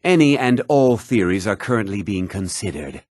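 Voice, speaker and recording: An actor playing a learned mage of a magic college in a fantasy video game, speaking in a formal, deliberate, articulate way. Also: male voice, on the low side, big pitch swings, a little mellow, no echo, clean studio sound. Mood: formal, lecturing. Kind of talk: scripted fantasy game dialogue.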